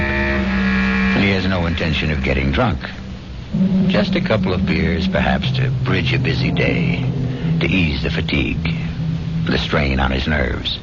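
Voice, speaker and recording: An older man narrating a scripted 1970s radio mystery as host, with measured, theatrical delivery. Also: extremely low pitch, vocal fry. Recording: muffled 1970s radio recording, music behind.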